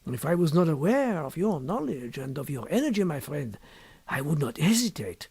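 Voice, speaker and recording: A man voicing a sly, impassive, secretive scholar in an audiobook story, with measured, deliberate and formal phrasing. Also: fairly high pitch, very animated, gravelly, darkish tone, husky, dry recording, full character voice. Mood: smug, secretive. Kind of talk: audiobook character dialogue.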